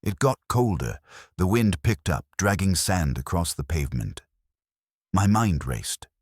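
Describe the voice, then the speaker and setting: A man's voice: low voice, big pitch swings, measured pace, darkish tone, husky, no room sound, studio quality. A man narrating a scripted first-person horror story in a suspenseful, dramatic way.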